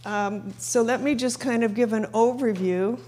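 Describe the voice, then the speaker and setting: A woman opening a recovery workshop on a microphone in a meeting room, measured, with fillers. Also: on the high side, mellow. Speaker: workshop organizer. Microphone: handheld microphone.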